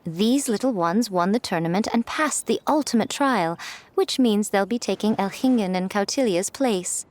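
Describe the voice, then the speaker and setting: A woman's voice: medium pitch, normal speed, darkish tone, lightly acted. A woman playing a poised, self-assured, teasingly aloof lady in a video game, with a wry, languid manner. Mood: composed, assured. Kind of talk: video game character acting.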